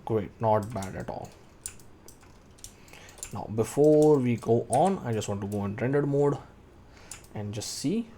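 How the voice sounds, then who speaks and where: A man's voice: mid-range voice, expressive, a little slow, long pauses, warm tone, a little hiss or hum. A man in his thirties teaching 3D modeling, thinking aloud as he works and calling out keyboard shortcuts. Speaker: 3D modeling tutor. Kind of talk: screen-recorded software tutorial.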